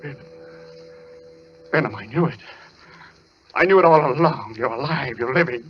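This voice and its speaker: An actor playing a deranged, obsessive doctor in an old-time radio thriller, theatrical and repetitive, swinging between muttering and ranting. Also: male voice, mid-range voice, animated, normal speed, husky. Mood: astonished. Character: deranged doctor asylum inmate, frantic, obsessive, menacing. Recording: dull old radio recording, music underneath.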